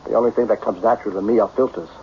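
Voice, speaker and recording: An actor playing a lonely self-made millionaire, blunt, brooding and self-pitying, in an old-time radio drama style. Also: male voice, fairly low pitch, fairly even intonation, rapid-fire, close to an everyday voice. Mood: bitter, dejected. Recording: dull, noisy old radio broadcast.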